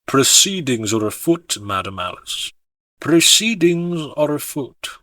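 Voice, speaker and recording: A man voicing a somber, self-serious, debonair gentleman with a gruff, grumbling voice. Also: a little high, big pitch swings, slow, no room sound, heavily acted. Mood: solemn.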